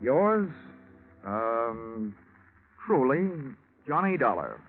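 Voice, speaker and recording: A man's voice: medium pitch, sing-song, very slow, very noisy, exaggerated voice. An actor playing a wisecracking, laconic American insurance investigator narrating his case in 1940s radio detective style. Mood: wry, musing. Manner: wry, laconic, hard-boiled. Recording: muffled vintage radio recording with music.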